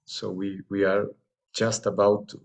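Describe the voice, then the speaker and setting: A man with a lowish, creaky voice. He answers as a panelist, haltingly, doubling back on words as he goes. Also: little pitch movement.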